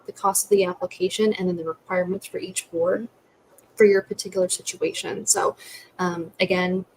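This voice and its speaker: Lowish-voiced young woman explaining methodically. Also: steady tone, normal speed, darkish tone, low-quality recording. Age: young adult. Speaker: licensing manager, panelist. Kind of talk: explanatory answers in a webinar. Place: indoor room with curtains. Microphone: computer microphone on video call. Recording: faint background noise on video call.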